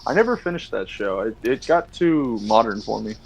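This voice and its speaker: A man's voice: fairly low pitch, lively intonation, gravelly, low-quality recording. Young man bantering casually with friends. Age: 20s. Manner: joking, quick back-and-forth banter. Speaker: dungeon master. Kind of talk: casual chat before a tabletop game stream.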